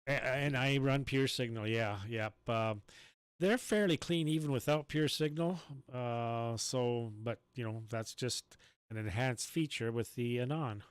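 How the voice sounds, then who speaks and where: Male ham radio operator, clear resonant voice, dry close sound, rambling casual delivery. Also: mid-range voice, a little slow, no echo.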